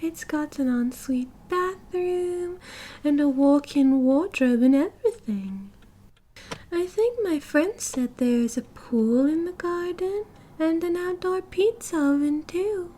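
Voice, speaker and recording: A woman's voice: sits high, expressive, slow, warm tone. A British woman voicing a cheerful, possessive young yandere kidnapper in an ASMR roleplay, with a cutesy, doting manner. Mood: excited, doting. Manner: giggly, cutesy, doting, breezy. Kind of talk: ASMR roleplay, intimate one-sided conversation. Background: car driving sounds.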